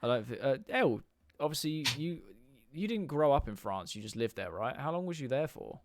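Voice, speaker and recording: A man's voice: medium pitch, very animated, normal speed, noisy background. A man in his twenties with an English accent, hosting a casual group livestream chat. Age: 20s. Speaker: livestream host.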